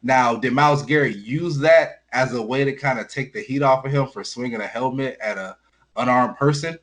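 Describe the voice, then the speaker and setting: Frank male sports co-host, mid-pitched. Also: normal speed. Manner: frank, blunt, informal. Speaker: sports talk show co-host. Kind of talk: casual sports analysis and commentary.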